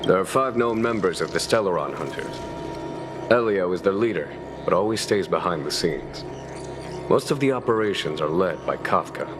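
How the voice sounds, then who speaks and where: A low-voiced, courteous, commanding general. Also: male voice. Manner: measured, formal, diplomatic. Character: calm, courteous, shrewd male general commanding a starship's knights. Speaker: military leader. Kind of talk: scripted video game dialogue.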